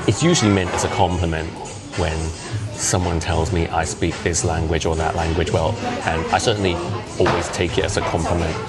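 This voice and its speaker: A man in his fifties whose first language is Cantonese, chatting casually to camera and often acting out other people's voices. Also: sits low. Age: 50s. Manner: chatty, animated, often acts out quoted voices. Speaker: vlogger.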